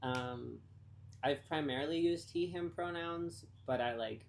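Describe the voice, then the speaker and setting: A man of twenty-one, a podcast guest, speaking haltingly as he searches for words. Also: fairly high pitch, creaky, a little hiss or hum. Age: 20s. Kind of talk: casual conversation.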